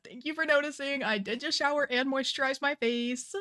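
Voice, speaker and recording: Young female streamer, chatty and bubbly, slightly worn voice, soft game music under her. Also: high-pitched, a little fast. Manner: chatty, bubbly, says "like" a lot. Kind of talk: casual game stream chat.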